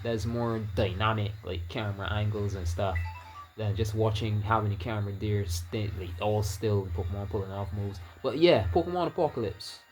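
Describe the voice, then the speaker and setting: A man from Barbados with a Barbadian accent, chatting loosely while he plays a video game, often trailing off and restarting his words. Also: lowish voice. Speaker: gaming YouTuber. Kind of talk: casual gameplay commentary.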